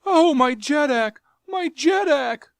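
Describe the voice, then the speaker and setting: A man voicing a cringing, terrified male courtier who whimpers and pleads in a shrill voice. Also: takes it slowly, creaky, dry recording, heavily acted. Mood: terrified pleading.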